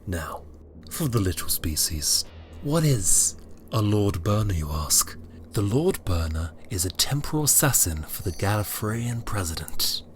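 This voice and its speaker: A man playing a scheming, menacing emperor who narrates an audio drama prologue in a theatrical, deliberate way. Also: lowish voice, big pitch swings, a little hiss or hum. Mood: grand, menacing.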